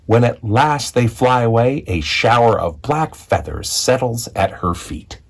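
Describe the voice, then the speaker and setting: A man reading a children's picture book aloud as a storyteller, with expressive narration and deliberate dramatic phrasing. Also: medium pitch. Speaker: read-aloud storyteller, school executive.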